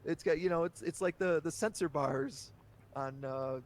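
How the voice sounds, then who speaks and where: A man's voice: on the high side, moderate pace, a little hiss or hum. A man guesting on a podcast, talking candidly in casual chat and breaking into laughter easily.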